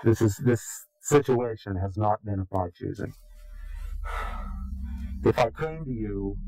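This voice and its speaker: A man's voice: lowish voice, measured pace, dark tone, no echo, soft background music, low-quality recording. A man being interviewed, speaking in a contemptuous, indignant way. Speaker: anonymous interviewee. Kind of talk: recorded interview.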